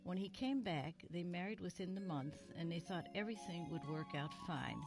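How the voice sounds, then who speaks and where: Elderly small town librarian, gentle sad reminiscing, slightly low voice, music playing behind, radio drama. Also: female voice, expressive, normal speed. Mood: wistful, sad. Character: kindly, wistful elderly female retired small-town librarian. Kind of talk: audio drama storytelling. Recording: music under the voice.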